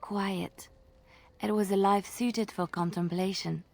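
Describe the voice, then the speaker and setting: An actress playing a gentle, devout former cloister sister in a fantasy game, soft and reflective. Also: female voice, mid-range voice, fairly even intonation, slow pace, vocal fry. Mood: serene, reflective.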